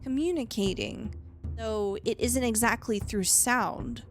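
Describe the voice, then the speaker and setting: High-voiced woman narrating as game master. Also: soft background music. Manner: descriptive, measured narration with light laughter.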